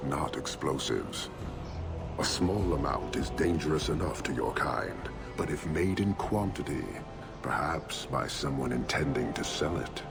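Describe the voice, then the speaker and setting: An actor playing a stern, coldly courteous horned giant warlord in a fantasy video game, gravelly and measured, speaking in terse formal phrases. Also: male voice, expressive, full character voice. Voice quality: deep, gravelly. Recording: game music behind the voice.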